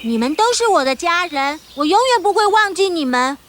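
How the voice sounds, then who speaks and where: A woman's voice: extremely high pitch, expressive, brisk, fairly bright tone, faint music behind. A woman voicing an earnest young boy raised by wolves in a children's storybook cartoon. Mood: caring, earnest.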